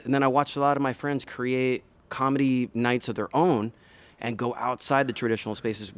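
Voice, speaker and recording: A man's voice: medium pitch, smooth. A middle-aged man hosting a casual podcast, laid-back and chummy, calling his guest "dude". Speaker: podcast host.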